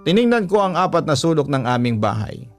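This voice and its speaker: A man narrating a listener's letter in a heartfelt, measured way. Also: a little high, big pitch swings, faint music behind. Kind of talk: reading a listener's letter aloud.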